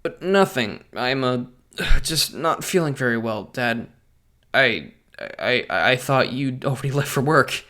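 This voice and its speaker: A man's voice: medium pitch, animated, measured pace. A man voicing a geeky, idealistic teenage boy who narrates a comic dub in a wry, self-deprecating way. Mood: sheepish. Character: geeky, idealistic teenage boy, self-made masked hero, wryly sardonic. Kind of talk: first-person narration and dialogue in a comic dub. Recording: loud music under the voice.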